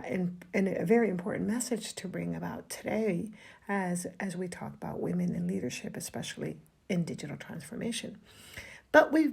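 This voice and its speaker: A woman hosting a podcast interview, asking questions in a measured, deliberate way. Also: mid-range voice, creaky, darkish tone, husky. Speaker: podcast host.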